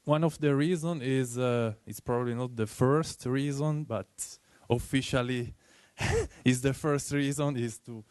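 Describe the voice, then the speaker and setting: A man with an Italian accent presenting at a tech meetup, speaking earnestly and haltingly with many fillers. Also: mid-range voice, a little mellow, no echo.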